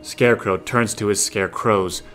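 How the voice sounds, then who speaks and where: Male narrator for audio drama, low register, scripted scene description over a light music bed. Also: fairly even intonation, normal speed, a bit bright.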